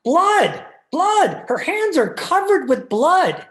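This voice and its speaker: A woman performs a deferential, fussy little husband in an old-time radio drama. The voice is high and very changeable in pitch, pleading in manner, with roomy echo around it. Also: very animated, takes it slowly, full character voice. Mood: flustered.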